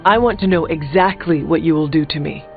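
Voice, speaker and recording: A woman's voice: low-pitched, a little fast, phone-quality sound, faint music behind. A woman voicing an earnest, composed young warrior in a video game, with a formal, direct way of speaking.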